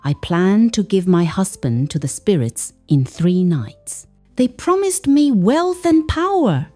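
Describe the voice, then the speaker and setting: Lady doing a wicked witchy stepmom from a folktale, dramatic, spiteful, very animated. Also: medium pitch, takes it slowly, dry recording, soft background music. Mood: anguished confession.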